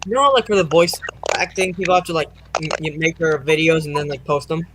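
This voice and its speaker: High-voiced chatty young man on a noisy call. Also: fast talker. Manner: chatty, says his voice is usually loud. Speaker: co-creator and voice actor. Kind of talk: casual chat over a voice call. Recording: noisy internet call line.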